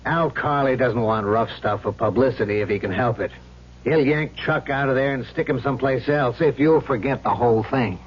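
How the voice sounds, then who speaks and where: An actor playing a wise, cynical, experienced police sergeant in a radio drama, plain-spoken and practical. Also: male voice, medium pitch, normal speed, a little hiss or hum, lightly acted. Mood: persuasive. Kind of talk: old-time radio drama acting. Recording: muffled old radio recording.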